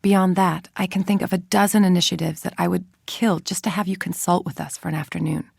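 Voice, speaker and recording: Game villainess, scientist. Deep female voice, dry close recording, icy, precise and clinical delivery. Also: fairly even intonation, brisk, vocal fry, a little mellow, slightly rough, no echo, heavily acted. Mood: courteous, persuasive. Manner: clinical, articulate, controlled, formal. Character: cold, calculating villainous scientist woman running a research group. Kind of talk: scripted game voice-over, audio log monologue.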